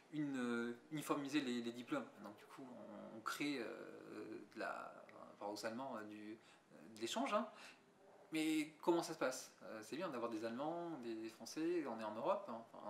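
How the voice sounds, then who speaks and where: A man's voice: mid-range voice, creaky, a bit bright, slight background noise. Hesitant man in an echoey room. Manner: hesitant, many restarts and fillers. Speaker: visual artist interviewed. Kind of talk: reflective interview answers. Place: museum gallery.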